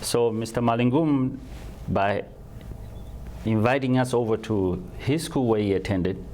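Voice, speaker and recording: A man from Tanzania answering interview questions, halting and repeating words as he searches for phrasing. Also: medium pitch, a little mellow, slight background noise. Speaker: guest.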